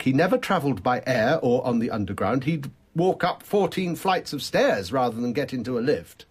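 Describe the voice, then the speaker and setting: A man's voice: mid-range voice, husky. An actor playing an agitated, insistent man in his thirties with a British accent, in a radio drama. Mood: insistent, disbelieving. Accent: British English. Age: 30s. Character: distraught, emphatic well-dressed man in his thirties.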